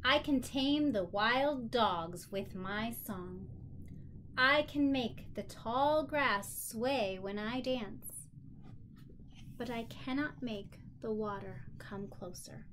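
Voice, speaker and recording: A woman's voice: a little high, slow, fairly bright tone, a little hiss or hum, rough recording. A woman teaching children in an encouraging, simply worded way, like a teacher leading a lesson and read-aloud. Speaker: special education teacher.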